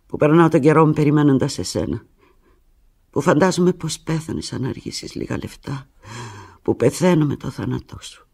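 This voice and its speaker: Radio play lead: heartbroken woman clinging to her lover by phone, husky, intense, fragmented delivery. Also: exaggerated voice. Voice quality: low, husky. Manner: intense, fragmented, swinging from hushed to anguished. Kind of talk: radio drama monologue, one side of a phone call.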